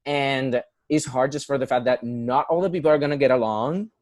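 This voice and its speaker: A man's voice: mid-range voice, fairly quick, no room sound, clean studio sound. Earnest Puerto Rican-accented young man. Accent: Puerto Rican Spanish. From Puerto Rico. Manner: earnest, many fillers and restarts. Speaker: guest, musical theater performer. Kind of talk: casual conversational interview.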